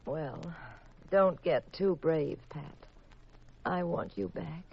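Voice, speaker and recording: An actress playing a playful, sharp-witted detective's wife in a 1950s radio drama, breezy and teasing on a vintage recording. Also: female voice, low voice, sing-song, takes it slowly, creaky, dull audio, heavy background noise, heavily acted. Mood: teasing, wifely.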